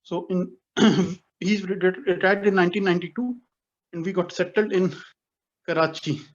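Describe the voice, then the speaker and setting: A man in his forties from Pakistan telling his life story on a video call, speaking haltingly with many restarts and self-corrections. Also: sits high, fairly even intonation, dull audio. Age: 40s. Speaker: guest speaker. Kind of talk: personal life story talk.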